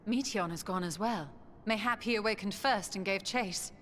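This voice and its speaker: A woman playing a composed, serious adventurer in a video game, measured and formal. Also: mid-range voice, fairly bright tone, a little hiss or hum, close to an everyday voice. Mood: concerned.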